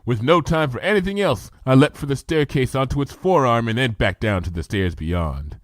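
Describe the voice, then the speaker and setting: A man's voice: mid-range voice, lively intonation, normal speed, no echo, clean studio sound. A man reading a comedy adventure story aloud with vivid first-person action narration. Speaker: fanfiction reader.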